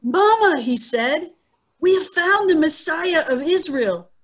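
A woman acting as a devout mother of a disciple in a dramatic reading. Her voice is high, and she speaks with earnest wonder. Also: animated, takes it slowly, full character voice. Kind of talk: amateur dramatic dialogue reading. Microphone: video call.

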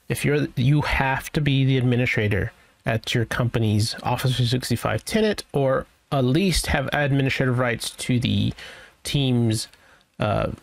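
Gravelly-voiced man giving a software walkthrough. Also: mid-range voice, no room sound. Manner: informal, rambling, thinking aloud. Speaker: IT tutorial presenter. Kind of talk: casual screen-share software walkthrough.

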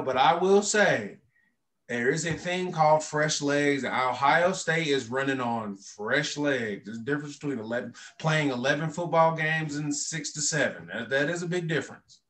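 A young man who played college football, talking as a guest on a sports podcast with emphatic, animated delivery over a laptop mic. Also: a little high, a little slow. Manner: emphatic, animated, uses many fillers. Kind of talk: casual sports talk. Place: indoor room.

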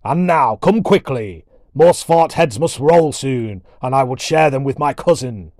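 A man voicing a boisterous dwarf warrior prince with a booming voice and grand, archaic speech, in a storybook reading. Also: expressive, unhurried, a little mellow, no room sound, studio quality. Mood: gruff, impatient. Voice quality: deep, booming.

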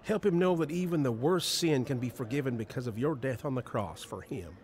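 An actor playing an earnest, folksy jailhouse preacher in a radio drama. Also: male voice, mid-range voice, animated, a little fast, a little mellow, a little hiss or hum, close to an everyday voice. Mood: prayerful.

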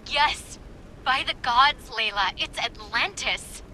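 A woman's voice: high voice, slow, sharp tone, slight background noise, heavily acted, poor audio. An actress playing an eager, bookish young female researcher in a video game, chatty and enthusiastic over comms. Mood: excited. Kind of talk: video game cutscene acting.

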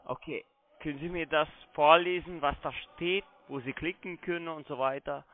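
A man with a fairly high voice talks as a call centre agent. He tends to repeat his words. He comes through a narrow telephone line. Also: steady tone, moderate pace. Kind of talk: phone sales conversation. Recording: narrow-band phone line.

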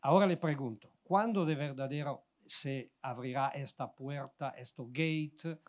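A man's voice: medium pitch, measured pace. An older man from Italy, speaking Spanish with an Italian accent, rambles through a long question from the outdoor audience on a handheld mic, searching for words as he goes. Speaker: audience member asking a question.

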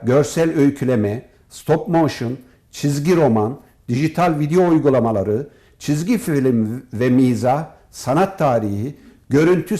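A man giving formal, measured interview answers as an academic guest, as if from prepared notes. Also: medium pitch, mellow. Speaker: professor and department head, interview guest.

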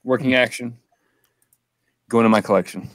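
A man in his forties chatting casually and haltingly about collecting, laid-back, close to a desk microphone. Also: mid-range voice, steady tone, a bit bright, slight background noise. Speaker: toy collector on a panel show. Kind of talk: casual chat. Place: small room full of shelves and boxes, indoors. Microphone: close desk microphone on video call.

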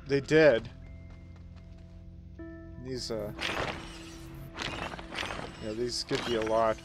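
A man's voice: medium pitch, fairly even intonation, very slow, music in the background. A man co-hosting a game recording, talking casually and hesitantly, with many fillers. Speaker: let's-play co-host. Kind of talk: casual gaming chat.

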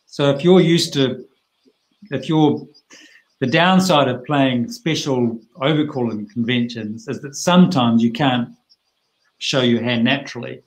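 A middle-aged man teaching a bridge hand over a video call, plain-spoken and chatty with lively asides. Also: mid-range voice, a little slow, rough recording.

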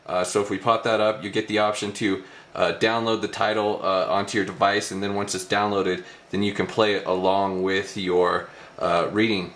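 Male tech demo host, casual delivery, low pitch, echoey room. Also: level tone, normal speed, a bit bright. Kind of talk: casual hands-on product demo. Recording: roomy, echoey indoor recording.

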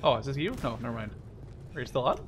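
A man's voice: mid-range voice, lively intonation, quick pace, noisy background. A man chatting casually with a teammate while playing a video game, rambling, repeating words and swearing freely. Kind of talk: casual gaming chat with a teammate. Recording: loud gunfire and explosions behind voice. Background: video game gunfire and artillery.

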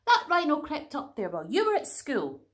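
A woman's voice: sits high, wildly swooping pitch, a bit bright, pitched above the performer's own voice, full character voice. A woman voicing a bawling sheep who protests its innocence. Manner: bawling, slow.

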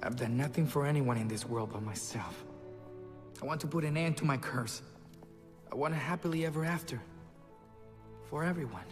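A man playing a charming, vain young prince in an animated film, breezy yet earnest. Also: medium pitch, normal speed, long pauses, music in the background, close to an everyday voice. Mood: earnest, determined.

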